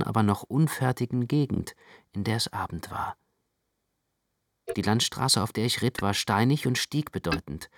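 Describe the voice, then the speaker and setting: Gravelly, low-voiced male literary narrator. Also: no echo, clean studio sound. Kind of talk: literary narration in a radio production.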